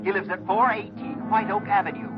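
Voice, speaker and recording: A woman's voice: medium pitch, fairly even intonation, fairly quick, close to an everyday voice. A woman playing an insistent anonymous phone tipster in an old radio crime drama. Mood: urgent, accusing. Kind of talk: 1950s radio drama acting. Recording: dull old radio sound, loud music.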